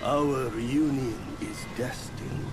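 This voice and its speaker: A man's voice: medium pitch, takes it slowly, natural voice. An actor voicing a genial, boastful male friend and teacher in a video game, with a grandiose, poetic way of talking. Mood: proud, instructive. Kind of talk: theatrical video game cutscene acting. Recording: loud music behind the voice.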